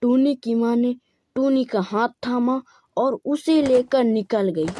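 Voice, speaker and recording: A woman's voice: fairly high pitch, takes it slowly, dark tone, a little hiss or hum. A woman narrating a scripted children's moral story.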